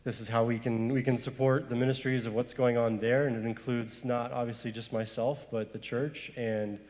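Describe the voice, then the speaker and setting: A man's voice: medium pitch, flat delivery, moderate pace, darkish tone. Panelist, man from Zimbabwe, earnest and full of restarts, hall reverb behind him. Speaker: panelist, missionary worker. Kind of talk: panel discussion. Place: church hall. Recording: echoey hall.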